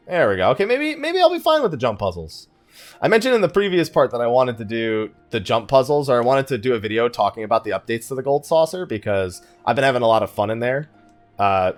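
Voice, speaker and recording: A man's voice: medium pitch, big pitch swings, brisk, soft background music. A man giving casual let's play commentary, chatting and rambling as he thinks aloud while he plays. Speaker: gaming YouTuber, let's play host.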